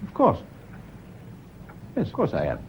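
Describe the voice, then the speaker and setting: A defensive British schoolmaster in a radio play, formal and tightly controlled. His clipped, indignant speech jumps in pitch, on a dull recording with clear noise behind it. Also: wildly swooping pitch, unhurried. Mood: strained, controlling. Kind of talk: British radio drama acting.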